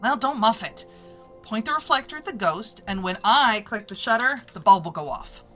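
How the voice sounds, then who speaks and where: A woman's voice: a little high, lively intonation, normal speed, pushed and bright, faint music behind. A woman playing a brash, bossy press photographer in old-time radio style, with snappy, slangy wisecracks.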